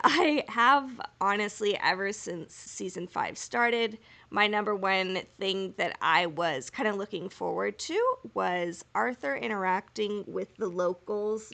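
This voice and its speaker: A woman's voice: medium pitch, creaky, a bit bright. A woman co-hosting a podcast in casual fan discussion, giggly and enthusiastic in manner. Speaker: podcast co-host.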